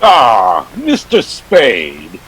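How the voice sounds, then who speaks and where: A man's voice: mid-range voice, wildly swooping pitch, very slow, fairly bright tone, slightly rough, heavily acted. A man acting a wordy, jovial but dangerous fat crime boss in a 1940s detective radio play. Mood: jovial, expansive. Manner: pompous, orotund, savoring his words.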